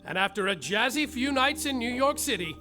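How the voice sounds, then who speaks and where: A man's voice: very high voice, animated, fairly quick, over music. An actor playing a grandiose, drawling male detective who narrates his own story on a live theater stage.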